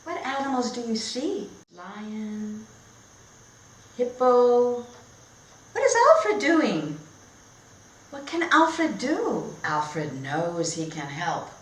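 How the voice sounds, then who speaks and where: Slow-speaking woman teaching in an echoey room. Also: on the high side. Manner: expressive, simple phrasing for learners. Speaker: teacher trainer. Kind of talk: read-aloud storytelling and teaching tutorial.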